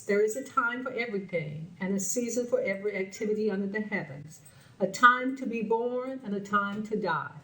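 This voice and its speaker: A woman's voice: medium pitch, fairly bright tone. A woman hosts her show, speaking earnestly and repeating phrases for emphasis. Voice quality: slightly raspy. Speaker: show host. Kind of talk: spoken commentary to camera. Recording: roomy sound from a distant mic with faint music.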